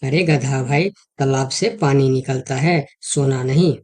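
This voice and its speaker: A man's voice: mid-range voice, measured pace, darkish tone, natural voice. A man voicing a cautious, caring deer who advises a friend in a children's cartoon story. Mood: firm, advising. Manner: concerned, advising.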